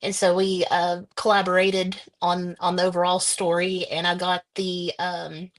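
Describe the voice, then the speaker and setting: A woman's voice: fairly low pitch, level tone, a little slow. A woman guest author answering interview questions in a casual, hesitant way. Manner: hesitant, many ums.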